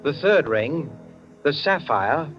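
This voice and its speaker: A man's voice: on the high side, lively intonation. Male radio drama narrator over music. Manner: deliberate, literary storytelling. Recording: dull sound, music underneath.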